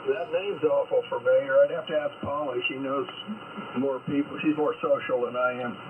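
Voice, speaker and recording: A man's voice: medium pitch, fairly even intonation, normal speed. Man chatting over a noisy radio link. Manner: rambling, many fillers. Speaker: ham radio operator. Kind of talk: casual radio chat. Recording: tinny radio line with noise.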